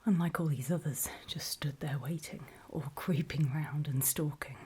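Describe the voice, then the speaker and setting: A woman playing a wary, battle-worn survivor of a zombie apocalypse, speaking in a breathy, deliberate whisper in an ASMR horror roleplay. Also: sits low. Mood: wary, instructive. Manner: hushed, deliberate, halting storytelling with pauses.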